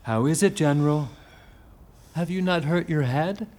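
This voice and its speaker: An actor playing a scheming, two-faced soldier villain in a Shakespearean tragedy, speaking in an insinuating, urgent, theatrical manner. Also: male voice, on the high side, unhurried, a little mellow, slight background noise, natural voice. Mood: coaxing, goading. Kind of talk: Shakespearean tragedy acting in modernized English.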